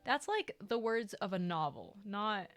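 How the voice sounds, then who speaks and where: A woman's voice: mid-range voice, very animated, measured pace. A woman reading a visual novel aloud for a playthrough. She alternates between earnest narration and playful, laughing commentary. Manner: laughs often, mixes dramatic narration with joking asides. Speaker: let's play host.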